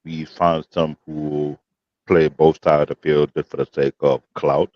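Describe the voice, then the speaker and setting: A man's voice: little pitch movement, a little slow, dry recording. A man, speaking earnestly and formally on a live panel, repeating himself for emphasis. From Nigeria. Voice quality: deep voice. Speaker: panel guest. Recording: dull muffled voice-chat audio.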